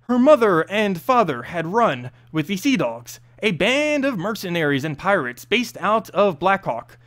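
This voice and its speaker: A man reading a fantasy story aloud as narrator, expressive, now and then stumbling over a word. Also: high voice, unhurried. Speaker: host reading game lore story aloud.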